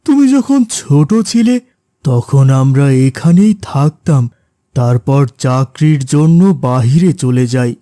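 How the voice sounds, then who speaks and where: A man voicing a gentle, patient father in a cartoon, speaking in a reassuring way. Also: medium pitch, expressive, slow, no room sound, studio quality. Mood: warm, encouraging. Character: gentle, reassuring middle-aged village-born father. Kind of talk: cartoon character dialogue.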